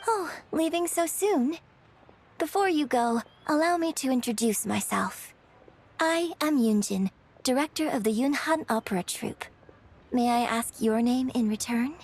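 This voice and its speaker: A woman's voice: sits high, unhurried, a little mellow, husky, exaggerated voice. A woman voicing a poised, courteous young opera director in a video game, speaking politely and eloquently.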